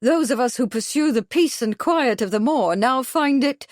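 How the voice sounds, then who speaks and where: A woman voicing an imperious elderly spinster with a high, shrill voice, haughty and indignant. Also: expressive, moderate pace, no echo, studio quality. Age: older.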